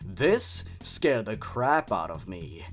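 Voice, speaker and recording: A man reads a horror tale aloud in a highly animated voice, his pitch swinging widely. He hosts with a mocking edge, slipping into sarcastic, exasperated asides. Music plays behind him. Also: takes it slowly. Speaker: host. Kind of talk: dramatic reading of a horror story with mocking asides.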